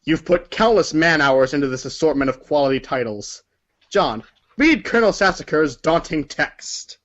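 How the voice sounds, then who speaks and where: A man's voice: a little high, lively intonation, moderate pace, fairly bright tone, lo-fi sound. A man narrating a story aloud as if from a storybook, sometimes stumbling over words and correcting himself. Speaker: narrator of a group readers' theater.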